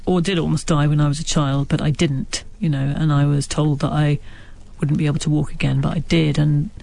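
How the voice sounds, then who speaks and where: A woman's voice: low-pitched, flat delivery, brisk, creaky, dark tone. A woman from Wales with a stammer, an author answering interview questions in a thoughtful way, searching for her words. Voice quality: has a stammer. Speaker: author guest.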